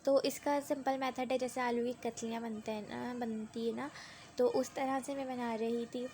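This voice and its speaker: A woman's voice: on the high side, steady tone, moderate pace, a little mellow, slight background noise. A young woman with a sore throat and a cough, chatting through a rambling vlog voice-over. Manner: rambling and conversational, with many tag fillers. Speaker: vlogger.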